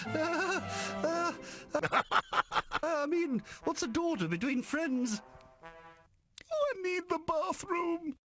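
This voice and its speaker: A man's voice: very high-pitched, very slow, pauses often, full character voice. A man voicing a nervous, bumbling young suitor in an adventure game cutscene, hesitant and rambling. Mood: nervous, flustered. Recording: loud music, game cutscene audio.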